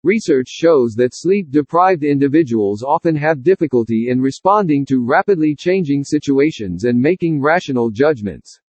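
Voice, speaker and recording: A man's voice: medium pitch, animated, moderate pace, hardly any pauses, a little mellow, no echo. A man reading a scripted academic passage aloud for test practice, on a dull lo-fi recording. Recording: dull, lo-fi, muffled audio.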